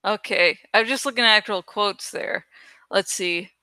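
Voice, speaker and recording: A woman rambles casually as she works, thinking out loud with plenty of swearing. Her voice is bright and smooth, recorded close and dry. She sometimes stalls to hunt for the right word. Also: mid-range voice, normal speed, no echo. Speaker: online creator and host. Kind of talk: casual rambling chat while working on a graphic.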